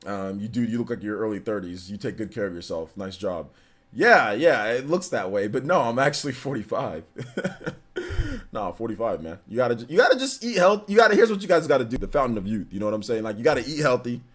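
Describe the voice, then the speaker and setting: A man's voice: mid-range voice, big pitch swings, fairly quick. A man in his forties chatting casually as a streamer, loose and filler-heavy, answering chat questions and laughing easily. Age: 40s. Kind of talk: casual livestream Q&A.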